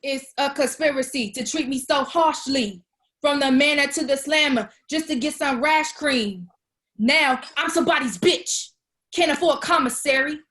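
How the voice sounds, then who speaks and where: A woman's voice: sits high, moderate pace, a bit bright. A woman playing an anxious, broke and dramatic young woman with a sarcastic, slangy manner in a comedy table read. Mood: melodramatic.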